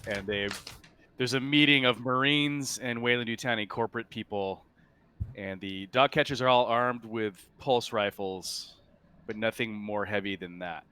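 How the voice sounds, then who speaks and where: A man narrating a tabletop roleplaying game as game master, casually and in halting, improvised phrases. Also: on the low side, bright, forceful tone, smooth.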